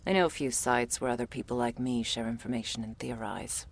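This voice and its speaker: A woman playing a gruff, world-weary female monster hunter in an ASMR audio roleplay, curt, sardonic and bossy as she addresses the listener. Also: fairly quick, slight background noise. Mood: dry, matter-of-fact. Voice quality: low, husky, breathy with gravelly creak.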